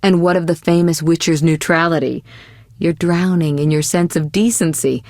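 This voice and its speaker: A poised young sorceress for a video game, her voice on the low side and recorded dry and close. She speaks in measured, articulate phrases, gently chiding. She sounds worldly and caring, with a teasing, possessive edge. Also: fairly even intonation, no echo. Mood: composed, gently chiding. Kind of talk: scripted video game voice acting.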